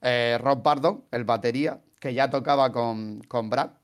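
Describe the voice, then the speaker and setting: A man's voice: mid-range voice, steady tone, unhurried. A man with a Spain Spanish accent hosting a podcast, telling a band's history in a chatty, jokey way and slipping in puns. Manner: jokey, chatty storyteller, repeats his puns. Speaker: podcast host. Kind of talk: casual podcast chat about a band's history.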